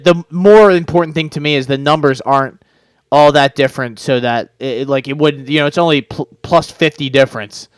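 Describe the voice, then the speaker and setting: A man's voice: medium pitch, smooth, dry recording. A man co-hosting a podcast, giving casual betting picks and rambling with lots of fillers and restarts. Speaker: podcast co-host. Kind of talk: casual sports betting chat.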